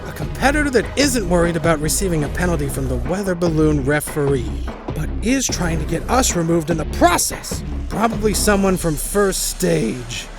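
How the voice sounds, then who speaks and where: Cocky male anime racer performed by a man, creaky voice, wildly varied pitch, theatrical, emphatic, brash mentor type. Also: very animated, moderate pace, few pauses, music in the background. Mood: grim, suspicious. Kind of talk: dramatic anime-style fandub acting.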